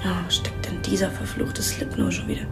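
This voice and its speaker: An actress playing a streetwise, wary strip-club performer who talks in a cheeky, defiant way in an audio drama. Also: female voice, on the low side, wildly swooping pitch, moderate pace, music in the background.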